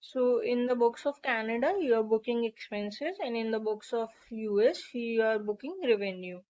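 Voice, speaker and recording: A woman narrating a step-by-step software tutorial in an instructional, explanatory way. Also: fairly high pitch, moderate pace. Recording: dull, lo-fi microphone sound.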